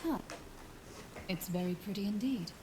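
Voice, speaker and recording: A woman plays a composed, obliging woman who speaks calmly and evenly. Her voice sits at a middle pitch under noticeable stream noise. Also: moderate pace, natural voice.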